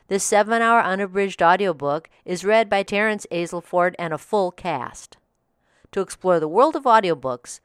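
A woman's voice: fairly low pitch, fairly bright tone, clean tone, no room sound, studio quality. A woman reading a scripted review as a podcast host.

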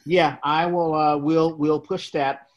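A man hosting a podcast, reading out announcements in an informal, halting way. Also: on the high side, flat delivery, highs cut off. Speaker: podcast host. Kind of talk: casual podcast sign-off and announcements.

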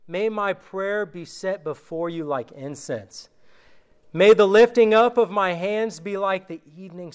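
A man gives a casual sermon and reads scripture with slow, deliberate emphasis. His voice sits high for a man and sounds clean and smooth, though recent sickness has worn it down. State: recovering from illness, voice going. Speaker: preacher. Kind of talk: informal sermon with scripture reading.

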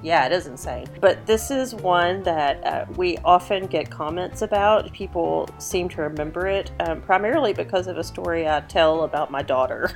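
A woman co-hosting a podcast in casual chat, raspy and half losing her voice from a cold, laughing often. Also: on the low side, moderate pace, hardly any pauses, faint music behind. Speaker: podcast co-host.

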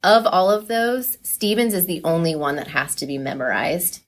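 A woman talking casually and enthusiastically as a coach being interviewed, chatty and quick to laugh. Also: lowish voice, animated, background noise.

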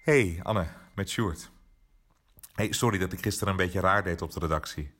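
An actor playing an awkward, guarded newsroom colleague in a naturalistic audio drama, halting and apologetic, with a slightly husky voice. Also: male voice, deep voice, a bit bright, slight background noise. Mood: apologetic, uneasy.

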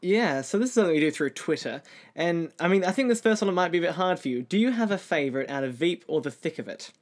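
Man, somewhat high voice, podcast interviewer, casual conversational questions. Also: lively intonation, quick pace.

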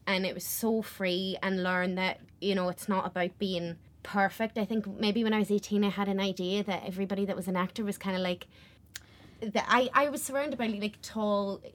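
A woman's voice: mid-range voice, steady tone, normal speed. Young woman from west belfast, actor, earnest and chatty about her craft. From Northern Ireland. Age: 20s. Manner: earnest, lots of fillers like "kinda". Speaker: stage actor. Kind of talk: casual interview chat.

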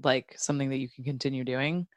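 An interviewer asks friendly, probing questions in an easy, casual style. The voice is smooth and mid-pitched. It is recorded close and dry, with no echo. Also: steady tone, quick pace. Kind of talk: casual conversation.

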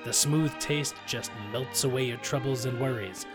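Doctor pitchman, acted by a man: mid-pitched, reassuring sales patter, old radio ad with music behind. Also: fairly even intonation, normal speed, lightly acted. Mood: reassuring, salesy. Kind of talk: 1930s radio sponsor ad spoof.

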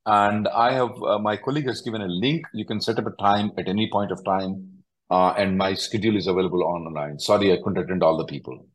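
A man's voice: sits low, steady tone, fast, noisy background. A man working as an attorney and host, answering callers bluntly, often repeating himself and cutting in. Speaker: immigration attorney hosting a call-in. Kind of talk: question-and-answer advice call.

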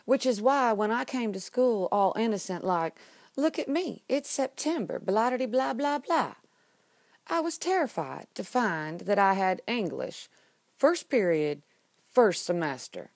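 Woman playing a exasperated teenage student, comedic stage monologue, sarcastic, emphatic, medium pitch, dry close recording. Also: expressive, unhurried, no echo. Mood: indignant outrage.

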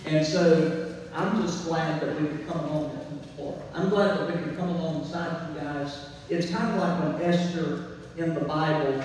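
High-voiced pastor in an echoing hall. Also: male voice, steady tone, normal speed, darkish tone. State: mild laryngitis, weakened voice. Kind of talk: church sermon. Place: church, speaking to a congregation. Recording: very echoey hall, distant mic, faint noise.

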